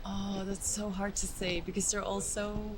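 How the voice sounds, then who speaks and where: A woman's voice: mid-range voice, little pitch movement, unhurried. A woman from the Netherlands, a tourist answering street interview questions in a friendly, chatty way, laughing often. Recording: crowd noise behind.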